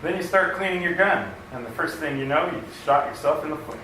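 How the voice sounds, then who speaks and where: A man's voice: on the high side, brisk, pushed and bright, distant mic. A man playing a friendly, plainspoken neighborhood police officer in a radio comedy, folksy and matter-of-fact. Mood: affable. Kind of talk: old-time radio comedy acting. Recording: echoey hall from afar, faint noise.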